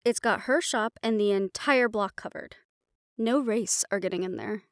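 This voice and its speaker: A woman's voice: medium pitch, normal speed, no echo, studio quality, close to an everyday voice. A woman playing a confident, scheming female magic researcher in a scripted fantasy audio roleplay. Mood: confident, brisk.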